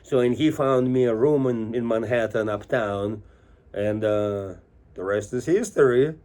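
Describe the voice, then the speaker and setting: A man's voice: medium pitch, measured pace, a little mellow. A middle-aged man from Moscow with a Russian accent, telling stories casually and informally as an interview guest. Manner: informal, tells anecdotes with quoted dialogue. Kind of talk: casual conversational storytelling.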